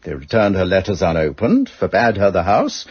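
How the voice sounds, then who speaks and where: An urbane English solicitor in a period radio play. The voice is low and husky with an educated English accent, measured and obliging but guarded. The sound is a little dull and muffled. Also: male voice, wildly swooping pitch, exaggerated voice. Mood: measured recounting. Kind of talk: radio drama acting.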